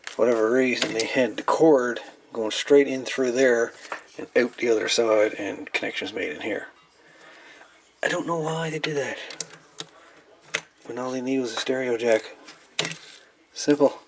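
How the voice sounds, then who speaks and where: A man with a creaky, gravelly voice talks casually through hands-on repair work at a middling pitch. He rambles in a folksy way and often doubles back on his words. Some background noise and clicks sit behind him. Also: a little slow, pauses often. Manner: rambling, repeats words, folksy colloquial grammar. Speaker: hobbyist radio repairer presenting. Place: indoor workbench.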